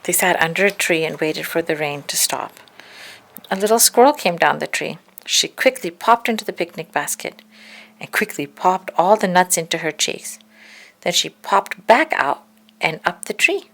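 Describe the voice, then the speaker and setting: A woman reading a children's story aloud in an expressive, deliberate narrating style. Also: fairly low pitch, gravelly. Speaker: storybook reader.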